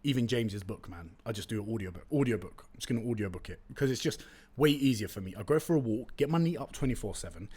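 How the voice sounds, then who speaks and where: A man's voice: lowish voice, fast, husky. A young man from London with a London accent, a native Turkish speaker, chatting casually and slangily as a solo podcast host on a close studio mic. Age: young adult. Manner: chatty, slangy, animated storytelling. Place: room with brick walls, indoors. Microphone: close studio condenser mic with pop filter.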